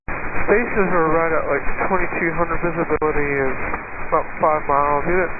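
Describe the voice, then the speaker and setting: A man's voice: fairly high pitch, steady tone, moderate pace. A man speaking as a pilot over aircraft radio in clipped readback phrases. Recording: muffled, staticky air traffic radio.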